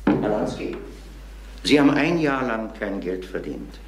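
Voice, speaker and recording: An actor playing a composed, probing police inspector in a German crime radio drama, questioning in a measured, matter-of-fact way. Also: male voice, lowish voice, sing-song, a little hiss or hum. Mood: probing, firm.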